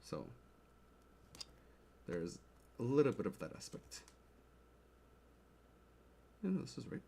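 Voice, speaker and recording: A man's voice: medium pitch, wildly swooping pitch, slow pace, gravelly, warm tone, breathy edge. A man livestreaming as he builds a keyboard, chatting casually and checking in with his viewers over a desk mic. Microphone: desk mic close to the keyboard. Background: faint keyboard clicks and typing.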